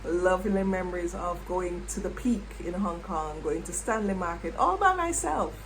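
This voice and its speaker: A middle-aged woman, a former English teacher, reflecting on her past in an unhurried way and repeating words as she thinks. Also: fairly low pitch, lively intonation, a little hiss or hum, poor audio. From Jamaica. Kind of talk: reflective personal storytelling.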